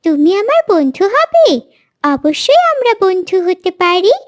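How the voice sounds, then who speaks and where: Very high-pitched little girl bird. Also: big pitch swings, a little slow, exaggerated voice. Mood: curious, eager. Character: sad, lonely little girl bird, sweet and innocent. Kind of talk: children's cartoon dialogue.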